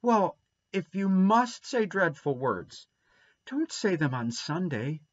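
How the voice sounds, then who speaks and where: A man's voice: sits high, wildly swooping pitch, measured pace, breathy edge, voice pushed up, full character voice. A man reading aloud and voicing a timid, proper little girl who pleads in dismay, on a dull lo-fi recording. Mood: pleading.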